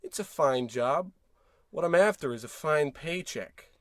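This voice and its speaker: A man's voice: on the high side, lively intonation, unhurried, a bit bright, no echo, studio quality. A man playing an eager, dissatisfied young suburban husband in a parody old-time radio drama, earnest and melodramatic.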